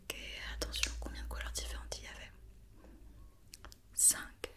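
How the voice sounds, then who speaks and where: Female ASMR artist as examining doctor: fully whispered, breathy, patient and methodical, repeating gentle instructions and questions. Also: moderate pace. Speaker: ASMR performer playing a doctor examining a robot. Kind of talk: whispered ASMR medical check-up roleplay.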